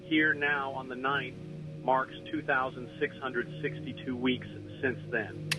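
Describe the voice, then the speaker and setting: A man playing a grave, foreboding weather scenario narrator, reading scripted lines in a solemn, formal, measured way. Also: medium pitch, flat delivery, natural voice. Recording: dull lo-fi audio over music.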